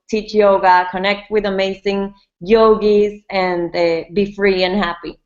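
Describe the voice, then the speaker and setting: A mid-pitched woman from Venezuela talks about her yoga business over a webinar call. She repeats words and starts phrases over, with sudden quick bursts. The sound is muffled and lo-fi. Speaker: yoga brand co-founder, guest. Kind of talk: casual interview answers. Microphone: webinar call mic. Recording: dull lo-fi webinar audio.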